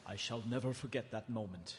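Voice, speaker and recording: Haunted eyewitness, played by a man, middle-pitched, dramatic first-person narration, long hall reverb. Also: brisk, natural voice. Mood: haunted, grave. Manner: grave, dramatic. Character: haunted male witness recounting a horror.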